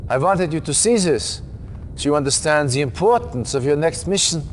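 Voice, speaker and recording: An actor playing a grave, authoritative military commander in a video game cutscene, speaking in a stern, formal, deliberate way. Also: male voice, fairly high pitch, lively intonation, slight background noise, natural voice. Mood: commanding.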